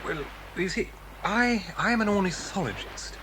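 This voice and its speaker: A man's voice: high-pitched, lively intonation, moderate pace. A man playing a flustered, prim stranger who loves birds, stammering in a British accent for a children's audio drama. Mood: flustered, chatty. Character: flustered, fussy male bird-lover stranger, stammering and easily alarmed. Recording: faintly noisy old cassette recording.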